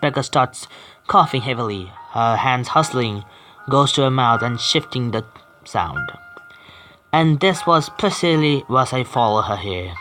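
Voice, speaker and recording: A man hosting a gaming video, reading story text aloud haltingly with stumbles and adding casual asides. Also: medium pitch, bright, forceful tone. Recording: faint game music behind. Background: faint video game music.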